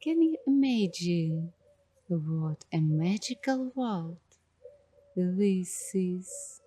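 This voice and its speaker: Female ASMR host, extremely unhurried and hushed, dark mellow tone, dreamy halting phrasing. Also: fairly low pitch, lively intonation, dry recording. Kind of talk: ASMR guided coloring narration.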